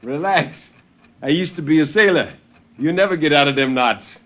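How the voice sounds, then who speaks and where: Man doing a taunting, higher-voiced crook. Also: expressive, moderate pace, fairly bright tone. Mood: mocking calm. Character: taunting, easygoing ex-sailor burglar. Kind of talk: 1950s radio dramatic reading. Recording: muffled 1950s radio recording.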